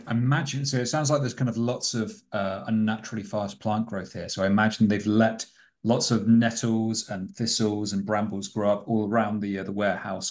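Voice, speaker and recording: A man improvising scene details as a tabletop game player. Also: fairly low pitch.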